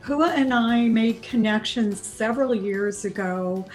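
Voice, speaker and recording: Woman co-host, fairly high voice, very slow and gentle, soft music underneath. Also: poor audio. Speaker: podcast co-host. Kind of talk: casual interview.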